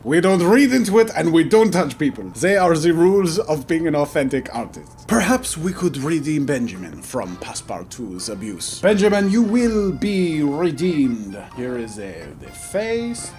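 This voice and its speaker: High-pitched man, mock French accent, theatrical pompous painter persona, lively swooping delivery, game commentary, music behind. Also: unhurried, hardly any pauses. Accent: put-on French. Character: earnest, self-important French painter, male. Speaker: video game streamer. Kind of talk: playful in-character gameplay commentary.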